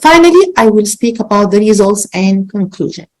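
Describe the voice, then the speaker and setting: Egyptian woman presenting, careful and halting. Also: medium pitch, expressive, darkish tone, poor audio. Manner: careful, halting, repeats words. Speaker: associate professor, presenter. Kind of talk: academic conference presentation. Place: virtual conference call.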